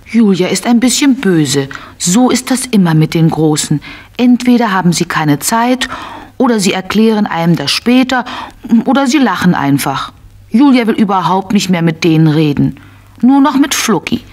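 A woman's voice: medium pitch, animated, moderate pace, darkish tone. Female narrator of a children's story.

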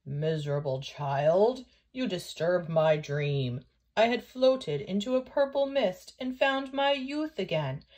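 A woman voicing a grumpy, dreamy old man in a children's storybook, with a slow, grumbling, wistful delivery. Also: on the low side, expressive, darkish tone. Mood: irritated.

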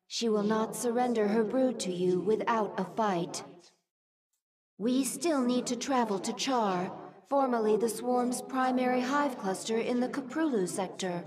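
An actress playing a composed female alien adviser in a video game, delivering mission briefings in a formal, measured manner. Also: on the high side, lightly acted.

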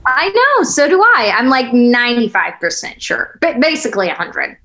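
A woman acting an earnest girlfriend in her thirties, in a relationship drama. Her voice is fairly high and swings freely in pitch. She talks naturally and gets defensive, recorded over a video call. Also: big pitch swings, normal speed, bright, forceful tone, exaggerated voice. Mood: hesitant, earnest. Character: earnest, defensive thirty-year-old girlfriend in a couple's spat. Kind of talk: naturalistic acted table read. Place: small plain room, on video call.

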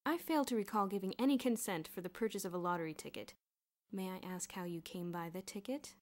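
A woman voicing a stern male headmaster in an audiobook story, formal, measured and drily sarcastic. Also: mid-range voice, a little mellow, lightly acted. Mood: cold, sarcastic.

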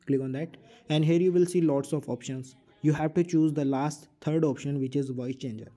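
Man with a dark, muted voice. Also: a little high, fairly even intonation, normal speed. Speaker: tutorial host. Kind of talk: casual screen-recorded app tutorial.

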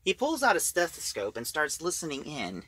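A man giving a casual, halting recap of a story as a reviewer, in an informal way. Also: a little high, huge pitch swings, pushed and bright, breathy edge, no echo.

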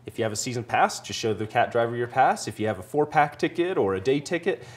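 A man's voice: mid-range voice, expressive, quick pace, breathy edge. A man in his thirties giving a casual, promotional interview as a spokesman in a TV studio, easygoing and a little salesy. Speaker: ski area spokesman, TV guest. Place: small TV studio, seated on couch.

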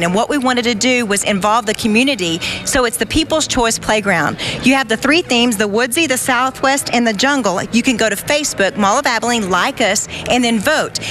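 A woman's voice: fairly high pitch, fairly bright tone, a little hiss or hum. A middle-aged woman speaking for a shopping mall in a live interview, cheerful and promotional. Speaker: mall representative. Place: indoor shopping mall courtyard. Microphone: reporter's handheld mic.

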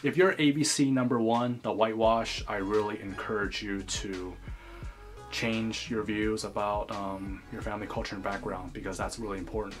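A man's voice: lowish voice, steady tone, moderate pace. An earnest young man from the United States speaks straight to camera in a small bedroom. He is close to the camera, with faint music behind him. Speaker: vlogger. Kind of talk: scripted vlog commentary.

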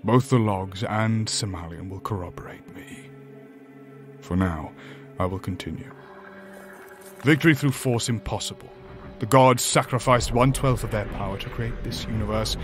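A grave otherworldly demon, played by a man tells cosmic lore in a fairly deep voice. The delivery is formal, courtly and measured, as in a horror audio drama, with music clearly behind it. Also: close to an everyday voice. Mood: solemn, resolute. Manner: formal, deliberate, solemn, eloquent. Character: grave, courtly demon from a higher dimension, ancient cosmic storyteller.

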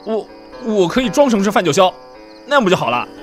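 A male actor plays a brash young man passing himself off as a prince in a period radio play. His pitch leaps about wildly as he blusters, mutters and protests. Music plays softly behind him. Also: sing-song, fast. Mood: pleading, defiant. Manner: theatrical, swinging between muttered asides and protests. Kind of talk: costume audio drama acting.

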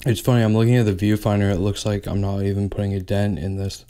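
Young male eating-video host, a low, warm voice, easygoing and unhurried, talking between mouthfuls on a close mic. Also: clean tone. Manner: relaxed, pauses between bites, frequent "like". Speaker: mukbang host. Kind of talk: casual eating chat, mukbang ASMR.

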